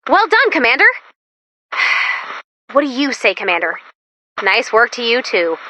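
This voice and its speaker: A woman's voice: on the high side, very animated, measured pace, pushed and bright, no echo, full character voice. A woman voicing an upbeat, quirky female robot assistant who guides the commander in a video game, with a chatty, theatrical, comic manner. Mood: upbeat, eager.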